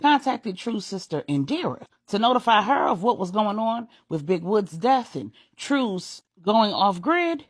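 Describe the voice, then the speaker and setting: A woman's voice: medium pitch, very animated, unhurried, few pauses, dry recording. A woman narrating a scripted story as a storyteller, with a dramatic, emphatic delivery.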